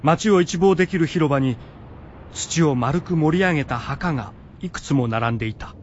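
A man's voice: on the high side, moderate pace, a little hiss or hum. A man narrating a scripted radio adventure drama. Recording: muffled, music behind.

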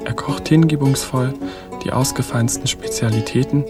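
A man's voice: mid-range voice, fairly even intonation, normal speed, loud music behind the voice, lightly acted. A man narrating a radio drama from a script in a matter-of-fact manner.

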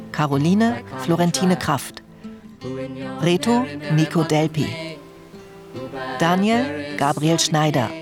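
An announcer reading radio play credits in a measured, formal, scripted manner. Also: medium pitch.

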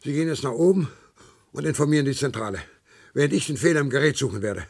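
A man's voice: medium pitch, animated, fast talker, gravelly, breathy edge. A man playing a flustered, officious technician in a German science fiction radio drama, bossy, indignant and prone to stammer. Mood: impatient, commanding. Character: flustered, officious male technician losing patience.

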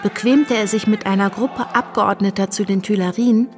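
An actress playing a reflective woman recalling revolutionary times in a radio play, in measured, sober first-person narration. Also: mid-range voice, steady tone, faint music behind, close to an everyday voice. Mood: sober, wry.

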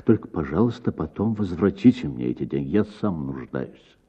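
An actor playing an irritated, blunt gentleman in a radio story, scolding in a curt, exasperated manner. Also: extremely low pitch, big pitch swings, normal speed, husky, dull audio, heavily acted.

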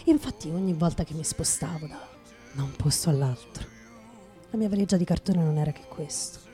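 A low, mellow woman's voice plays a bitter, hard-drinking writer in a radio play. The delivery is brooding and halting, repeating words for emphasis. Faint music runs behind it. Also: close to an everyday voice. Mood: bitter, reflective. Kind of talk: theatrical radio drama monologue.

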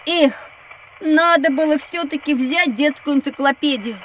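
Very high-pitched, outspoken curious kid. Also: female voice, a little slow, slight background noise. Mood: frustrated. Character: curious, outspoken school-age child. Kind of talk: scripted children's educational audio drama.

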